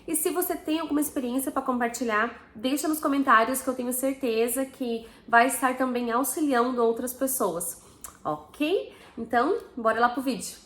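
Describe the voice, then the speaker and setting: A woman's voice: high-pitched, normal speed, fairly bright tone, echoey room. A Brazilian woman in her thirties with a Brazilian Portuguese accent, telling a personal story to camera in a chatty, casual way, often ending with little tag questions. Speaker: vlogger.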